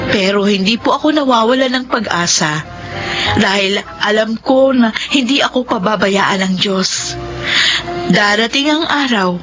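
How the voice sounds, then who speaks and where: A woman playing an earnest, polite teenage daughter in a Filipino radio drama, with hope and devotion. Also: a little high. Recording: dull radio drama audio, music behind.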